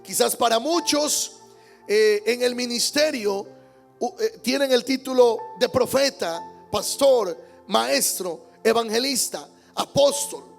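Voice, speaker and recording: A man's voice: very high voice, slow, vocal fry, soft background music. A male pastor preaching a Sunday sermon in church, emphatic, repeating key words and calling out amens. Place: church service.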